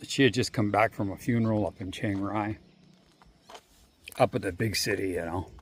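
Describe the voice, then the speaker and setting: A man vlogging casually while walking outdoors, rambling and swearing freely, with long pauses. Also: fairly low pitch, slight background noise. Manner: rambling, profane, drawn-out pauses. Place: outdoors on a walk. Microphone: handheld phone.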